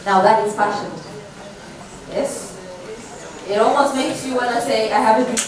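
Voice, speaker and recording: A woman's voice: fairly high pitch, moderate pace, far from the mic, crowd noise. Joking woman chairing, echoey hall. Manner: lively, joking. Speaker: session chair. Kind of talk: introducing speakers. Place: large conference hall.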